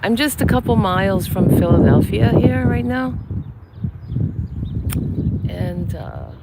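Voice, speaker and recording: A woman with a soft, soothing voice talks slowly and gently as she walks outdoors. Her phone picks up clear outdoor noise around her, with birds and passing cars. She chats casually with her live viewers. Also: medium pitch. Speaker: psychic medium and channel host. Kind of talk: casual live-stream chat while walking. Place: outdoors in a cemetery among cherry trees. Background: birds and passing traffic.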